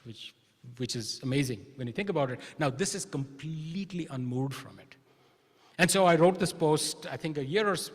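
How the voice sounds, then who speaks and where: Indian guy w a bit of american in his accent, higher-ish voice, chatty keynote talk, echoey big hall. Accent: Indian with American influence. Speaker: keynote speaker, academic. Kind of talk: conference keynote, conversational lecture. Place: large indoor hall, conference keynote. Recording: reverberant hall sound.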